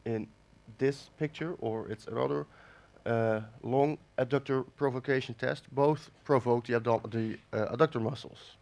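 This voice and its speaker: A man from the Netherlands gives a conference lecture while nursing a cold. His delivery is halting, full of fillers and restarts, at a middling pitch. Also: fairly even intonation, creaky, darkish tone. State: has a cold. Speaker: conference speaker. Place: conference hall.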